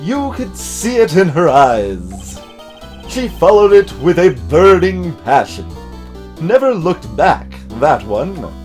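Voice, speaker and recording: A man voicing a pompous, evasive older lawyer from a video game, theatrical and halting, with formal old-fashioned phrasing. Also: a little high, big pitch swings, darkish tone, soft background music. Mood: wistful.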